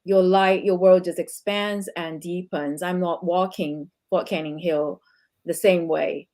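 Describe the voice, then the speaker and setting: A woman from Singapore with a lowish voice, speaking in a reflective, searching way. She co-hosts a relaxed conversation about leadership and asks thoughtful follow-up questions. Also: unhurried. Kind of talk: conversational podcast interview.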